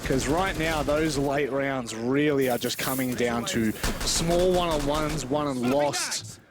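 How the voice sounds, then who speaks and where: A man's voice: mid-range voice, slow pace. A man giving live esports commentary with informal, self-deprecating banter. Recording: game gunfire noise behind voice. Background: video game gunfire.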